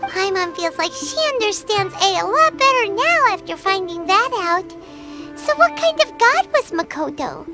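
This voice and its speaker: Squeaky little kid fairy sidekick from a game, excitable n blunt, super swoopy, loud music behind. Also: female voice, moderate pace. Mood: curious. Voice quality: squeaky, childlike. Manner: excitable, refers to self in third person. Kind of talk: scripted video game dialogue.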